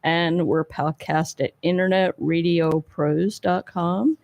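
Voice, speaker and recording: A woman's voice: fairly low pitch, steady tone, slow, gravelly, a little mellow. A woman hosting a live talk radio show, chatty and upbeat as she runs the segment.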